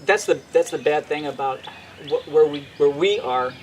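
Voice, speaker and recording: A man with a slightly higher voice hosts an outdoor interview. He halts and repeats himself as he works toward his questions. Faint birds and nature sit in the background. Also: a little hiss or hum. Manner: halting, many fillers and repeated words. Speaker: interviewer, host.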